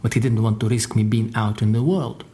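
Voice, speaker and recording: An actor playing a crime boss's guarded secretary in an audio drama, telling his story in a dry, deliberate way. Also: lowish voice, fairly even intonation, warm tone, lightly acted. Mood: grave, measured. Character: formidable Russian crime boss's secretary, guarded male survivor. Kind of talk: audio drama dialogue and narration.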